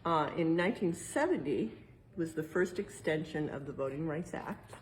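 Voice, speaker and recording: A woman's voice: low-pitched, animated, moderate pace, slight background noise, rough recording. A woman with an American accent reading a picture book aloud and explaining it simply for young listeners as a museum educator. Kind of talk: read-aloud with explanatory asides.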